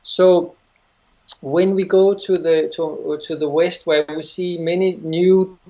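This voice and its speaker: A middle-aged man with a Danish accent, answering interview questions as a yoga teacher, thoughtful and halting, often restarting phrases. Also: a little high, fairly even intonation, warm tone. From Denmark. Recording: dull lo-fi sound.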